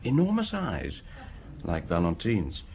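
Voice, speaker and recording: A man's voice: sits low, huge pitch swings, measured pace, darkish tone, slight background noise, full character voice. An actor playing a diligent local policeman in a radio drama, reporting in a dry, matter-of-fact way. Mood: dry, confiding.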